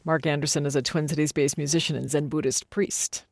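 A woman's voice: deep voice, fairly even intonation, a little fast, gravelly. An American woman hosting a public radio interview, asking questions and handling introductions and sign-offs.

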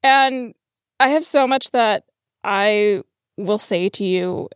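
A woman's voice: mid-range voice, takes it slowly, smooth, no echo. Young female podcast producer, casual heartfelt delivery, mid-range voice, very dry recording. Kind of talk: casual, heartfelt studio chat.

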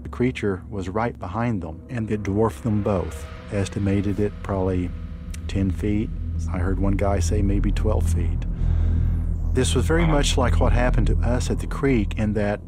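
A man with a fairly low voice recounts events in a measured, matter-of-fact manner. Music plays clearly behind his words. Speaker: storyteller. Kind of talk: first-person spoken account. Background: prominent music bed.

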